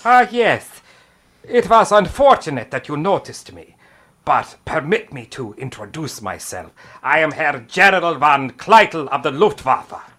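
A man playing a haughty, urbane German general with a German accent and a husky, pompous delivery in an old radio drama. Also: fairly high pitch, very animated, fairly bright tone, exaggerated voice. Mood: smooth menace. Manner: pompous, theatrical, deliberate. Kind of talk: 1950s radio drama acting.

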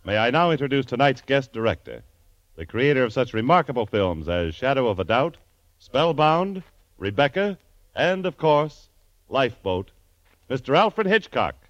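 A man works as a formal vintage radio announcer. His mid-pitched voice moves in a very animated way. Music plays faintly behind him on a dull old recording. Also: normal speed, no echo.

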